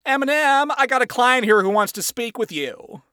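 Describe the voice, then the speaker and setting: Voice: medium pitch, animated, moderate pace, pushed and bright, clean tone, no echo, studio quality, voice pushed up. A performer as a brash, swooping imp boss. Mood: showy, upbeat. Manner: flamboyant, over-emphatic, teasing. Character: brash, wacky, lewd male imp boss.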